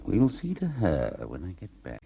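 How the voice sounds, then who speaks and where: An actor playing a calm, assured ringleader of a criminal plot. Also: male voice, low voice, moderate pace, creaky, husky, full character voice. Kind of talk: 1970s radio drama acting.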